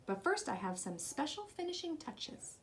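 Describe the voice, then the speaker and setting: A loving old storybook grandmother, as voiced by a woman reader. She speaks in gentle, folksy phrases, with a slightly high voice. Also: sing-song, normal speed. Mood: gentle, satisfied. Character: elderly frail, loving grandmother who quilts.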